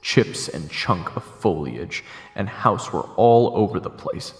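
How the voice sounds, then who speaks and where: A man's voice: sits low, animated, slow pace, creaky. A man reading scripted first-person story narration for a fantasy audio drama. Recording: echoey, long reverb, faint music behind. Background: music.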